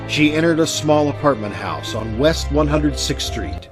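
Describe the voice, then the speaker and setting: A man playing a mysterious storyteller, narrating an old-time radio suspense tale in a deliberate, dramatic way. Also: fairly high pitch, animated, lightly acted. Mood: suspenseful. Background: music.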